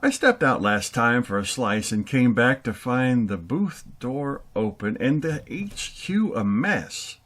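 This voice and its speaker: A man's voice: medium pitch, animated, slow pace, hardly any pauses, a bit bright. A man playing an older, orderly radio show boss in an audio comedy skit. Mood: grateful. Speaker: show host.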